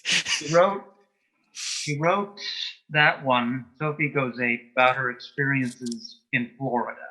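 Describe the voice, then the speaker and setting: A man answering as an interview guest in a conversational way, with brief, deliberate replies. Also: medium pitch, sharp tone. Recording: dull, lo-fi sound.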